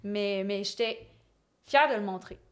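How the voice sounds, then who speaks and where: A woman's voice: medium pitch, smooth. Chatty Québécois woman comedian. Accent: Québécois French. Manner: quick, chatty, full of fillers and laughs. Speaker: comedian guest. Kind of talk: casual podcast chat.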